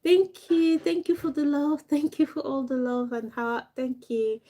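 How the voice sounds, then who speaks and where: A woman's voice: high voice, flat delivery, a little slow, dark tone. A young woman from Africa, a doll maker and fashion designer, chatting casually on a live stream, rambling and thanking viewers as she goes.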